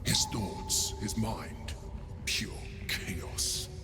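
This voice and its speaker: Low, cold, haughty man. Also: in a whisper, over music. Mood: cold refusal. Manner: measured, haughty, severe. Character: cold, calculating, controlling male advisor. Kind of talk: video game voice acting.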